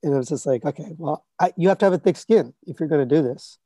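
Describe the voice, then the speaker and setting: A man in his thirties or forties with a scratchy voice that sits a little high. He talks in a loose, rambling way, full of fillers and restarts, like a casual podcast guest. The recording is dry and close. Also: fast talker, mellow, no echo. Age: 30s to 40s. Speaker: guest, collectibles reviewer.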